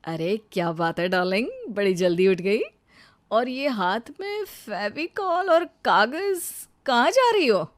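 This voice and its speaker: Scornful cartoon landlord husband voiced by a woman, unhurried, teasing, dismissive. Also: mid-range voice, sing-song.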